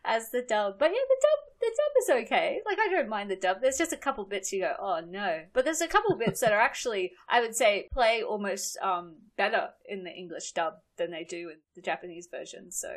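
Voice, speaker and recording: A woman's voice: mid-range voice, big pitch swings, a little fast, few pauses. A woman in her thirties with an Australian accent, a guest chatting on a podcast and often laughing. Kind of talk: casual podcast chat.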